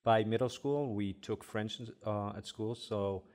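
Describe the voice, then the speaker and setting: A young man from Egypt, Arabic his first language, speaks as a specialist guest on a podcast. His voice sits low, and he explains at an easy pace, dotting his sentences with "uh". The feel is conversational. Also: a little slow. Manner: relaxed, many "uh" fillers while explaining. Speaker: headache specialist doctor, guest. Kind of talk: casual podcast interview.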